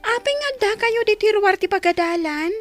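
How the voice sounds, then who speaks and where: Very high-pitched bewildered child. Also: female voice, lively intonation, moderate pace, soft background music. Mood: puzzled, upset. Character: bewildered young grandchild of school age. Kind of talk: radio drama acting in Ilocano.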